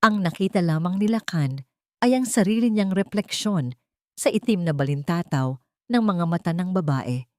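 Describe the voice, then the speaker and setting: Female storyteller, slightly low register, dramatic scripted read, dry studio-like sound. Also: animated, moderate pace, dry recording. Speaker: story narrator.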